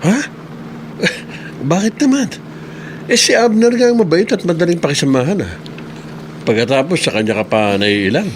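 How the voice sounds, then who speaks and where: A man's voice: on the high side, animated, moderate pace, long pauses, slight background noise, lightly acted. An actor playing a kindly, easygoing middle-aged male office boss in a radio drama, with a Filipino accent. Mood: curious, amused. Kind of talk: Tagalog radio drama acting.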